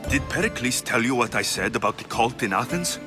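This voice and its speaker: A steady, protective mercenary of ancient Greece, acted by a man in a video game. He talks plainly and earnestly at a middling pitch. Music plays clearly behind him. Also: a little fast, natural voice. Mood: concerned. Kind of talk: dramatic game cutscene acting.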